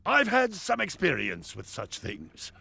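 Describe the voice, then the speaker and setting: An actor playing a stern old sage in a video game cutscene, with a creaky, raspy voice. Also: male voice, high-pitched, huge pitch swings, unhurried, sharp tone, heavily acted. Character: old wise male sage, stern mentor.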